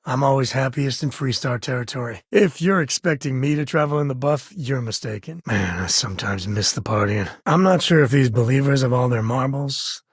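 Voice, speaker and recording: A husky-voiced frontier spaceman for a video game, recorded close and dry. He is an easygoing ranger and family man with a folksy, wry way of talking. His pitch sits in an ordinary male middle range. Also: moderate pace, few pauses, no echo. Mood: relaxed, wry. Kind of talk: video game character dialogue.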